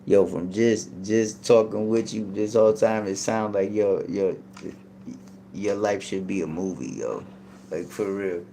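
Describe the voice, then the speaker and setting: A man hosting a casual chat with a deep, gravelly voice. He is easygoing and enthusiastic, peppering his talk with slang. Faint hiss runs behind him. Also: measured pace, warm tone. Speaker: podcast host.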